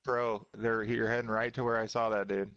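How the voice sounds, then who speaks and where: A man's voice: on the low side, flat delivery, normal speed, a bit bright, highs cut off. A man in casual gaming chat, calling out to teammates in slangy, profane language. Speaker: video game podcast member playing online. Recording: noisy game voice chat with clicks.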